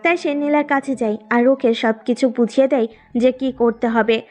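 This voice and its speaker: A woman's voice: fairly high pitch, fairly even intonation, normal speed, darkish tone. A woman reading scripted narration for a romantic story as its storyteller.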